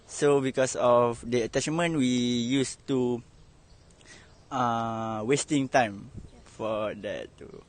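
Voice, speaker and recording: A man answering a street interview question, hesitant and pausing. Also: medium pitch, no room sound. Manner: hesitant, slow answers with pauses.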